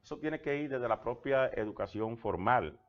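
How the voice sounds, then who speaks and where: A man's voice: medium pitch, expressive, normal speed. Dominican man, guest on a tv interview, anecdotal storytelling, slightly dull lo-fi sound. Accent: Dominican Spanish. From Dominican Republic. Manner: storytelling with personal anecdotes, trailing restarts. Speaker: guest, government labor official. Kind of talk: conversational TV interview.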